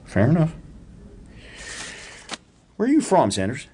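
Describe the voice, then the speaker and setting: An actor playing an eccentric, sardonic psychology professor in a radio play, self-assured and exacting, with a wry, precise way of talking. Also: male voice, a little high, huge pitch swings, a little slow, warm tone, slight background noise. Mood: probing, brisk. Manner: wry, pedantic, precise wording. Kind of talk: radio theatre dialogue.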